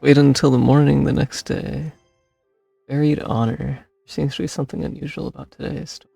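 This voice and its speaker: A man with a gravelly, husky voice giving casual commentary as he plays a game, music behind him. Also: medium pitch, level tone, fairly quick, dark tone, dry recording. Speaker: streamer playing a game. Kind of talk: casual commentary, reading game text aloud.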